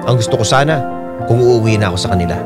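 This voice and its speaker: A man's voice: on the low side, lively intonation, very fast, natural voice. An actor playing a troubled young Filipino husband, narrating his story reflectively as a radio drama confession. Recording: loud music under studio narration.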